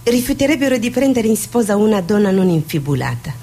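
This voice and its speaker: A woman's voice: medium pitch, big pitch swings, fairly quick, dark tone. An actress playing a Somali woman scarred by suffering, defiant and mocking, in a stage drama. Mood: anguished, haunted. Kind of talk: stage monologue and dramatic dialogue.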